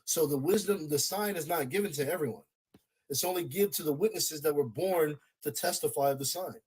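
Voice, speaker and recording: A man with a husky, slightly breathy voice that sits a little high for a man. He preaches insistently in a debate, hammering the same points and breaking in to hold the floor. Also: fairly quick, a little mellow, poor audio. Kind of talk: religious debate.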